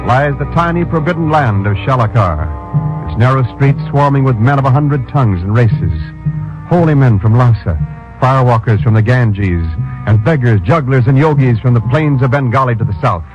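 Um locutor de rádio dos anos 1950 narrando uma introdução dramática. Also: male voice, medium pitch, expressive, normal speed. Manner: dramatic, sonorous. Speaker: announcer. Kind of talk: 1950s radio announcing. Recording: dull old radio broadcast with loud music.

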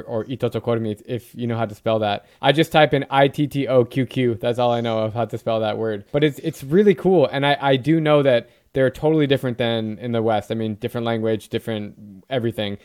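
A male podcast host chats casually with his guest, enthusiastic and a bit rambling as he tells his stories. His voice is smooth and resonant, with a mid-range pitch. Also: quick pace, hardly any pauses, a little mellow. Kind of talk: casual interview chat.

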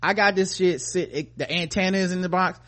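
A man's voice: high-pitched, brisk, fairly bright tone. An American man co-hosting a podcast in casual, profane, slangy chat, playfully addressing his listeners. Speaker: podcast co-host.

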